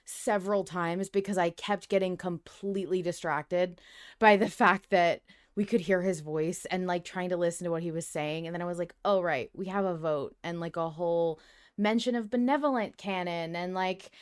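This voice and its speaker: Smooth, low-voiced, effusive woman podcaster. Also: normal speed. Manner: effusive, emphatic, swears freely. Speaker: podcast co-host. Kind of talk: casual fan discussion of an audio drama.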